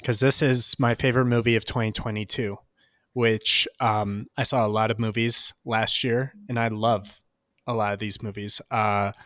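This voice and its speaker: A man's voice: fairly low pitch, flat delivery, moderate pace, a bit bright, no echo. A man co-hosting a casual movie podcast, full of playful jokes and banter. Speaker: podcast co-host.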